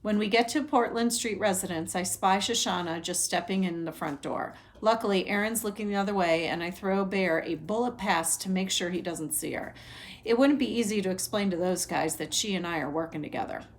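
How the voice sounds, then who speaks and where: A woman reading a novel aloud like a teacher, narrating for young listeners in an animated way and acting out the dialogue. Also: medium pitch, fairly quick. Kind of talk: expressive children's read-aloud narration.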